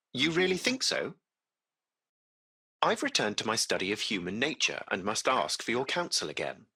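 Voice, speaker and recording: A man's voice: medium pitch, vocal fry, bright, forceful tone, slightly rough, heavily acted. A man playing a polite, curious AI taxi driver in a video game, speaking in formal, precise, butler-like phrases. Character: courteous, curious AI taxi chauffeur, male-voiced machine. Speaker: AI driver of a cab service. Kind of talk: scripted video game character dialogue.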